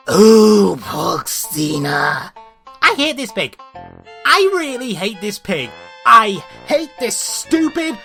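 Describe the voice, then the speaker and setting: A woman presents a scripted comedic review in a bright, lively voice. Her pitch moves around a lot as she rants bluntly and swears with exasperation. Faint music plays behind her. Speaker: host of a cartoon review show.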